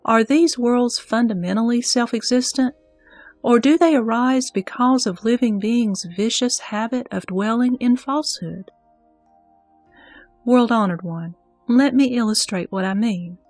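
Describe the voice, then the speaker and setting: A woman's voice: on the high side, measured pace, darkish tone, no echo, faint music behind. Woman as earnest, reverent disciple, formal recitation. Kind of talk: scripted scripture audio drama, recitation.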